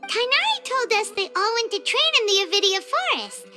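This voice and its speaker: Squeaky, excitable little fairy companion. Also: female voice, normal speed, soft background music, full character voice. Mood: perky, curious. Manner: chirpy, squeaky, eager. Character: small childlike fairy companion, perky, curious, excitable. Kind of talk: video game voice acting.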